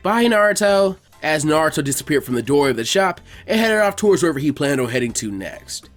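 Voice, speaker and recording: Lively male story narrator over music. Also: fairly high pitch, quick pace. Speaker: fan fiction reader. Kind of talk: expressive amateur story narration.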